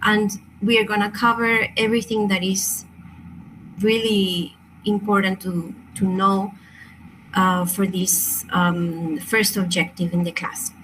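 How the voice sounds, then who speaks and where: A woman's voice: mid-range voice, little pitch movement, slow pace, a bit bright, slight background noise, low-quality recording. A woman from Chile, a native Spanish speaker with a Chilean accent, presenting an online crystal workshop in a hesitant, apologetic way with many fillers and restarts.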